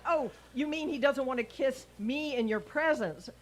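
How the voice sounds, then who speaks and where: A woman's voice: high voice, normal speed. A woman playing a wry, lovestruck, scheming schoolteacher in a vintage radio sitcom, arch and gushing with endearments. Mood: arch, insistent. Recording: noisy live raw event recording.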